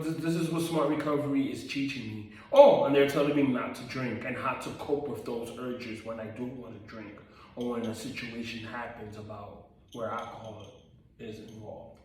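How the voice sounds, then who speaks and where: A man's voice: medium pitch, recorded from a distance, a little hiss or hum. A man in his thirties giving a personal testimony vlog, earnest and repetitive, with frequent ums and self-corrections. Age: 30s. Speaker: vlogger. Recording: very echoey room, voice away from the mic.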